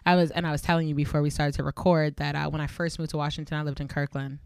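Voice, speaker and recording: A woman hosting a podcast in casual chat, animated, slangy and swearing freely. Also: low voice, fast talker, creaky, smooth, no echo, studio quality. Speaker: podcast host.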